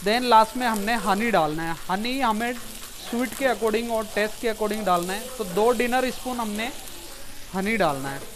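A man's voice: high voice, measured pace. A man in his thirties, a chef giving a step-by-step cooking demonstration in a restaurant kitchen on a lapel mic, mixing English words into his talk. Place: commercial kitchen.

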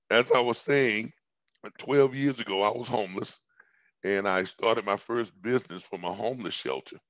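A middle-aged man with a hoarse, somewhat low voice pitches a business idea from his home over a headset on a video call. He rambles, stacks up repeated words and fills gaps with ums. The sound is close and dry. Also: a bit bright, dry recording, rough recording. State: hoarse, recovering from illness. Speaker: business presenter. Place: indoors at home, large room with staircase.

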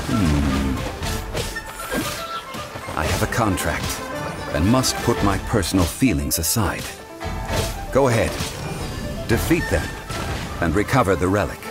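A man voicing a calm, dignified scholar who speaks in a measured, formal way in a video game. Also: low voice, full character voice.

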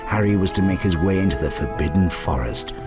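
A man narrating a fantasy game like a storybook, in a measured, dramatic storytelling way. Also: low voice, lively intonation, over loud music. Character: storybook narrator of a wizard tale.

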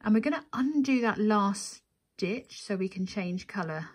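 A woman's voice: mid-range voice, animated, measured pace, husky. A woman narrating a step-by-step craft tutorial as the instructor, methodical and counting steps aloud.

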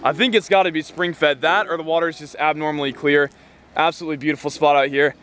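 Fast, upbeat young vlogger man. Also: fairly high pitch, steady tone, a bit bright, slight background noise. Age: young adult. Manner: upbeat, talks fast to the camera. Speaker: fishing vlogger host. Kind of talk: energetic vlog narration. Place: outdoors and in a truck, handheld camera.

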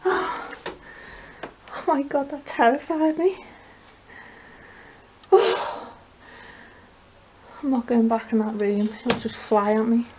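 A woman with a fairly high voice films herself exploring alone. She talks casually and slowly, in short, jittery remarks. The handheld camera audio is heavy with noise. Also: fairly even intonation. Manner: nervous, hushed exclaiming in short remarks. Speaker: urban explorer vlogger. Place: inside a derelict empty house. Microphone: handheld camera microphone.

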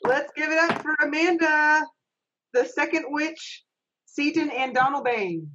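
A woman's voice: sits high, muffled recording, background noise. A middle-aged woman acting as stage manager, cheerfully thanking each reader in a casual group video call from her home office. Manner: upbeat, encouraging, brisk announcing. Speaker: stage manager hosting an online reading. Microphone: webcam on a video call.

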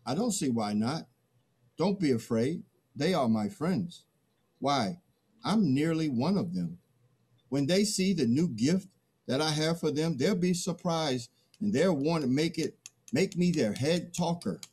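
A man's voice: a little high, very animated, measured pace, darkish tone, husky, heavily acted. A middle-aged man playing a boastful, stubborn early human in a dramatic play reading. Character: first Man, boastful, greedy, stubborn, awkward.

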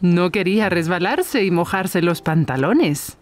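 A woman narrating a children's cartoon as a gentle storyteller for young children. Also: fairly low pitch, animated, a little slow, soft background music. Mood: gentle storytelling.

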